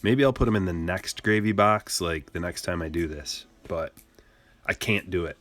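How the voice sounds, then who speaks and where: Low-voiced joking man on a livestream. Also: normal speed, fairly bright tone. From Michigan. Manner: playful, joking, rambling banter with chat. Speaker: card-break streamer. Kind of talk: casual livestream chat while opening cards.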